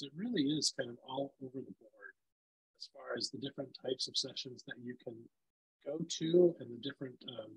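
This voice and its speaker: A man with a rough, gravelly voice hosts an online session for teachers. He talks loosely with many fillers, and the call audio cuts in and out. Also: medium pitch, fairly bright tone, poor audio. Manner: loose, filler-heavy, friendly instructions. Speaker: webinar presenter for teachers. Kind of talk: casual online presentation. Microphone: video call. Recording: video call audio, volume dropping in and out.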